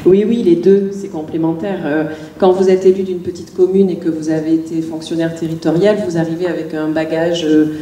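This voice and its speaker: A middle-aged woman mayor speaks from a panel, her voice mellow and a little low, with frequent stops and restarts. She sits some way from the microphone in an echoey meeting hall, with a faint hiss behind her. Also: flat delivery, brisk, recorded from a distance. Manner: hesitant, many restarts and fillers. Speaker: village mayor. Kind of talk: panel discussion answer.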